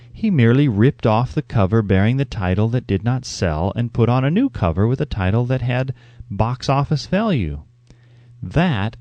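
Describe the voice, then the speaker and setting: A man's voice: medium pitch, a little mellow, dry recording. A man reading a scripted audiobook as narrator, with an emphatic, instructive delivery.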